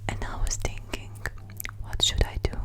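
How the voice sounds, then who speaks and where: A young woman with a Croatian accent whispering slowly and softly for ASMR, close to a studio mic in a small room at home. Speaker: ASMR creator. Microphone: large foam-covered studio mic close up.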